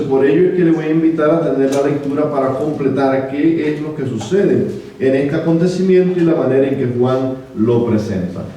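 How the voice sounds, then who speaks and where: A man with a Cuban accent preaching a sermon and reading scripture in a measured way, with frequent hesitant fillers. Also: medium pitch, warm tone, a little hiss or hum. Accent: Cuban Spanish. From Cuba. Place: church sanctuary. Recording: echoey church room from far off.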